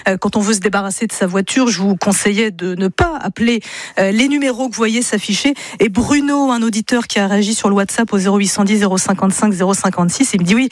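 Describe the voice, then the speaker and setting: A woman's voice: medium pitch, fast talker, dry recording. A woman giving practical consumer advice as a regular radio columnist in a studio. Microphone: studio microphone.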